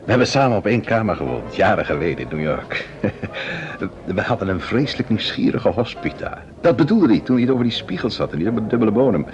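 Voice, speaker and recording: A man's voice: on the low side, big pitch swings, fairly quick. A man playing a composed, genial amateur detective in a vintage Dutch radio drama. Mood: calm. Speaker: detective-novelist lead. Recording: muffled old radio recording, music underneath.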